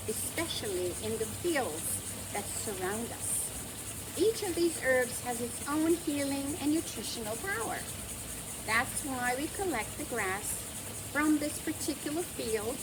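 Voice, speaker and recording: A woman's voice: high-pitched, noisy background. A woman voicing a loving, wise storybook grandmother who explains things patiently and tenderly.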